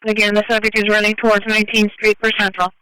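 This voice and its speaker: A high-pitched man speaks quickly over two-way radio. His delivery is flat and terse, in short bulletins. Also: a little hiss or hum, rough recording. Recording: transit radio transmission.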